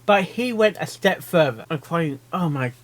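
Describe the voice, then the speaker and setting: A man giving casual live reaction commentary, rambling and repeating himself in a halting way. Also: a little high, lively intonation. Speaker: reaction video host.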